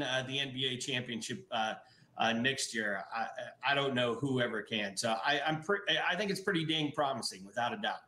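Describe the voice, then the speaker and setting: A man's voice: medium pitch, pushed and bright. A middle-aged man in a casual video-call interview, talking about his brewery with lots of fillers and restarts. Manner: enthusiastic, many fillers and restarts. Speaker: brewery guest. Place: indoor office room. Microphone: video call webcam. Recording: roomy webcam audio.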